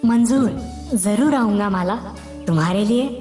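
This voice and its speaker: Woman as a gentle young magic pigeon, reverberant. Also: mid-range voice, unhurried, natural voice. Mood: earnest. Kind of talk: children's cartoon story voice acting. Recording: long reverb, background music.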